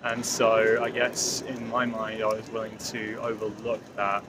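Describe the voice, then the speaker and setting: A man's voice: lowish voice, completely flat delivery, measured pace. A man in his thirties talking to a handheld camera outdoors at a temple site, reflective and thinking aloud. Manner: reflective, hedging thoughts aloud. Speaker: travel vlogger. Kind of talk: casual travel vlog talk to camera. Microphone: handheld camera selfie framing. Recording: outdoor noise behind.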